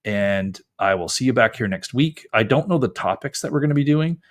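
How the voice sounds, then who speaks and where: A man's voice: medium pitch, animated, a little fast. A man hosting a live call-in show as a lawyer, giving advice in a casual, conversational way. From Canada. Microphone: close mic, wearing headphones.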